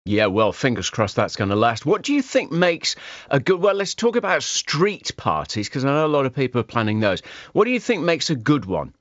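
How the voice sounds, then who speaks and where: A hoarse man presenting a radio show, chatting playfully with a guest. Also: medium pitch, huge pitch swings, fairly quick, dry recording. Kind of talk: casual radio chat. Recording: slightly dull lo-fi sound.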